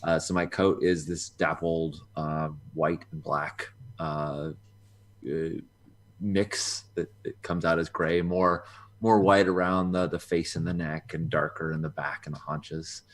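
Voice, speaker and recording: A man's voice: extremely low pitch, steady tone, measured pace. A man playing a tabletop game, talking casually and hesitantly with many fillers. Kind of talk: casual actual-play chat.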